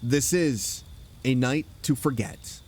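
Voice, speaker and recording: A man hosting a horror radio program, giving a scripted, atmospheric campfire-style introduction with measured storyteller phrasing. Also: mid-range voice, darkish tone, husky. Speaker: host introducing vintage horror dramas.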